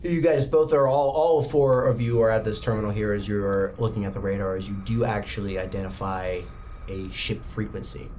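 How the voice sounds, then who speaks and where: A man running a tabletop roleplaying game as game master, setting scenes in a dramatic, halting way. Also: lowish voice, darkish tone, a little hiss or hum. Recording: background music behind the voice. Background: music.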